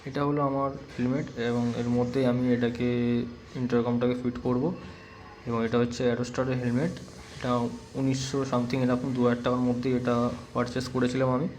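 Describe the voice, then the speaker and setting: Male vlogger, mid pitch, even delivery, plain step-by-step tutorial style, noticeably noisy recording. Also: fairly quick. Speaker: motorcycle vlogger demonstrating a product. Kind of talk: casual hands-on tutorial narration.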